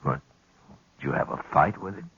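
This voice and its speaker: A weary old-west marshal, really deep voice, unhurried few words, dull old radio sound. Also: male voice, lively intonation, fairly bright tone, breathy edge, no room sound, full character voice. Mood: serious. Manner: measured, laconic. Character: calm, weary frontier marshal. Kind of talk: 1950s radio western acting.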